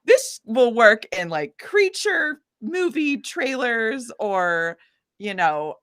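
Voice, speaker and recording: A woman guest, a singer-songwriter, chatting casually in an interview and acting out quotes in an animated, informal way. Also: fairly high pitch, slow pace.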